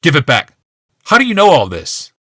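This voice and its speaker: Audiobook role: sickly, embittered male inventor acted by a man, suspicious, explosive, sharp-edged delivery, dry close sound. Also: fairly high pitch, wildly swooping pitch, moderate pace, breathy edge, no echo, voice pushed up, full character voice. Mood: indignant, angry. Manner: shouting, hissing, accusing outbursts. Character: bitter, paranoid, sickly male inventor in a wheeled chair.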